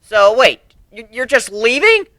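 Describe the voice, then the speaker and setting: Naive young pegasus colt, played by a man, high and bright, pleading, bewildered, for a dramatic story reading. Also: very animated, unhurried, dry recording, clean studio sound, heavily acted. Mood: disbelieving.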